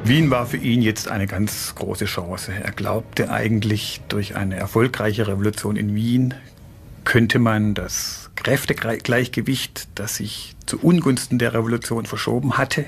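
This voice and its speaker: A man speaking as an expert in a documentary interview, explaining things in halting phrases. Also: on the low side, fairly even intonation, gravelly, faint music behind. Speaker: expert commentator.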